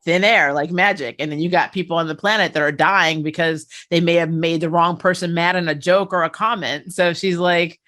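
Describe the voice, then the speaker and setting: A woman's voice: on the low side, steady tone, brisk, few pauses, a bit bright. A woman chatting casually and animatedly as she hosts a discussion reviewing a TV show.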